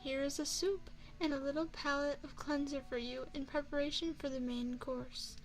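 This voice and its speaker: A woman playing an elegant, flirtatious vampire chef in an ASMR roleplay, speaking formally and courteously with deliberate, teasing phrasing into a close microphone. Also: high-pitched, fairly even intonation, low-quality recording. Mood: gracious, proud. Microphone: close binaural microphone.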